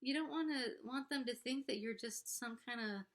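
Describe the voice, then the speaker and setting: A young adult woman with a congested nose talks casually and candidly to camera as a vlogger, in a halting, self-conscious way. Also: on the high side. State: congested, pregnant. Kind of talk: casual confessional talk to camera.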